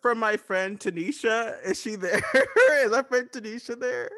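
A man puts on a giggly, taunting caller for an improv comedy sketch. The voice sits high and rambles hesitantly. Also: a little slow, fairly bright tone, full character voice. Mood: teasing.

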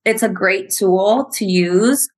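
A woman's voice: mid-range voice, slow pace. A Mexican-born woman teacher earnestly explaining a reading program in an interview. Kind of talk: interview conversation.

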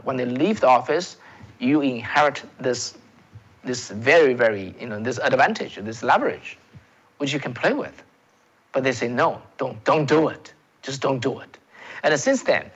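A man's voice: mid-range voice, a bit bright, lo-fi sound. A man from China, a native Chinese speaker with a Chinese accent, hosting solo political commentary. He talks emphatically, often repeating words and restarting phrases, and calls his viewers "folks.".

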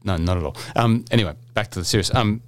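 Middle-aged male podcast host, low voice, rambling and jokey, loose casual delivery. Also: fast talker. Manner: chatty, rambling, jokey, many fillers and restarts.

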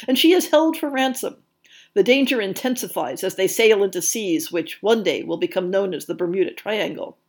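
A woman presents a podcast from a prepared script. Her voice sits at a medium pitch for a woman. She reads in a measured, articulate way, with expression in the phrasing. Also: a little mellow. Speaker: podcast host. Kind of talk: scripted narration, reading book listings and blurbs.

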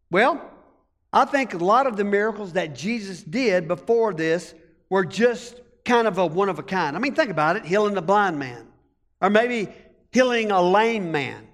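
High-voiced country preacher, slow. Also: male voice, fairly bright tone, big-room sound. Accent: American country. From rural United States. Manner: folksy, storytelling preacher asking the congregation to respond. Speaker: pastor. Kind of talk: sermon.

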